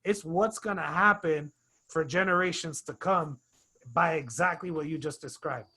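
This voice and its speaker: A man's voice: a little high, normal speed, pushed and bright, slightly rough. A man hosting a real estate webinar, talking emphatically and persuasively. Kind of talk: webinar conversation.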